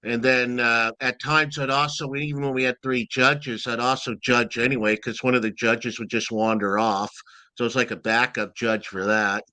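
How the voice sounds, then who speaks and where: A man with a loud voice, a guest timekeeper rambling expressively in a casual interview chat. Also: mid-range voice, little pitch movement, normal speed, smooth, no echo, rough recording.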